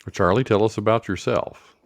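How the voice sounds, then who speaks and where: A man hosting a casual podcast conversation, rambling and haltingly thinking aloud as he talks. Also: lowish voice, vocal fry. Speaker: podcast host.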